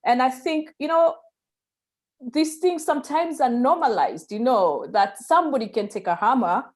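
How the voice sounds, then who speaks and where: A woman's voice: sits high, lively intonation, moderate pace. A woman interviewing a guest over a video call, often saying "yeah" and "mm" while listening. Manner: frequent short backchannels like "yeah" and "mm".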